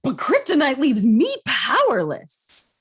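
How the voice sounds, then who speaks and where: A woman playing a gruff, exasperated male superhero, with dry delivery, at an amateur table read. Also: high voice, huge pitch swings, takes it slowly, heavily acted. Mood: alarmed.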